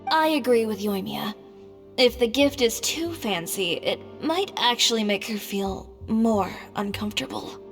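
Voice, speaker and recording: Shrewd, reassuring young woman for anime game: measured, fairly high, reverberant with clear music underneath. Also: expressive. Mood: helpful, considered.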